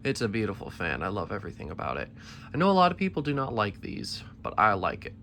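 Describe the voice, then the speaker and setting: A man's voice: lowish voice, huge pitch swings, fast talker, vocal fry, bright, forceful tone, slight background noise. A young man with a tired, slightly worn voice, chatting eagerly in a casual vlog update, rambling with restarts. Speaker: ceiling fan collector. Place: basement collection room.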